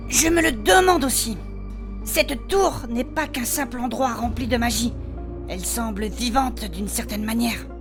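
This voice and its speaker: A woman's voice: a little high, unhurried. A woman playing a worried, tender adventurer, hesitant and earnest, in a fantasy audio drama. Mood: tender concern. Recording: faint music underneath. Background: music.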